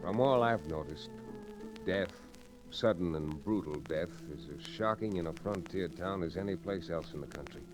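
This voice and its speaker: An actor playing a cool, steady frontier lawyer in an old-time radio western, speaking in a measured, understated way. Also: male voice, low voice, loud music behind the voice, natural voice. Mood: grave narration. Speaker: lead actor and narrator. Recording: noisy old radio recording.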